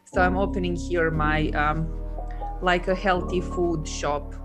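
A woman's voice: lowish voice, steady tone, unhurried, over music. A woman from Serbia, chatting casually as an interview guest, with lots of fillers and frequent laughs. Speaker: guest, coach and entrepreneur.

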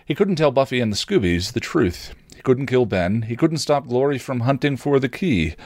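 A man reading fan fiction aloud as expressive story narration. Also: mid-range voice, moderate pace, dry recording. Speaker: fan fiction reader on a podcast.

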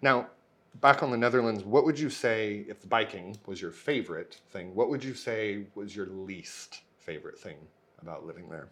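A male host leading a casual interview, friendly, with quick follow-up questions. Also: lowish voice, fairly bright tone.

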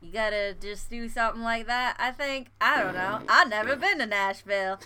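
A young American woman hosts a podcast in a loose, chatty way, full of "like" and "you know." Her voice is bright and a touch high. She sounds bubbly and animated. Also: normal speed, slight background noise. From United States. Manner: bubbly, chatty, lots of fillers. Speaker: podcast host. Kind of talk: casual chat.

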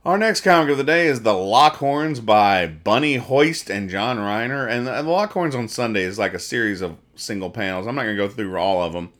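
Low-voiced man rambling through comics. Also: expressive, a little fast, hardly any pauses, fairly bright tone. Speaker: podcast host. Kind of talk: casual reading and explaining of comic strips.

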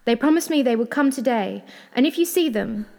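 A woman's voice: very high voice, huge pitch swings, a little slow, pitched above the performer's own voice, full character voice. A woman voicing a frightened, desperate young bride pleading in a storybook fairy tale. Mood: anxious, pleading. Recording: long hall-like reverb.

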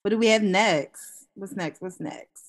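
Lively woman co-hosting a chat show. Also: mid-range voice, unhurried. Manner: animated, laughing, exclaiming. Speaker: co-host. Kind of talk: casual podcast chat.

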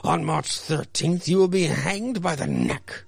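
A man's voice: fairly high pitch, measured pace, creaky, slightly rough, no room sound, pitched above the performer's own voice, full character voice. A man playing a stern, condemning judge with solemn declamation in a radio play.

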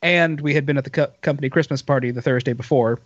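A hoarse man with a raspy voice, chatting as a podcast co-host. He rambles and restarts his sentences as he tells a story. The sound is a bit dull and lo-fi, and the room is dry. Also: medium pitch, fast talker, no echo. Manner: rambling, self-interrupting storyteller. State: hoarse, recovering from illness. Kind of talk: casual banter.

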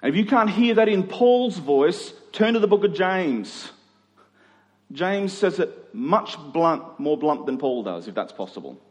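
A man's voice: on the high side, big pitch swings, unhurried. A middle-aged man preaching in a conversational expository style, using rhetorical questions and emphatic repetition. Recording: long hall reverb.

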